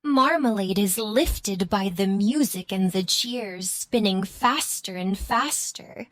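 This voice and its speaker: A woman's voice: mid-range voice, takes it slowly, no echo. A woman reading scripted narration for a children's bedtime story.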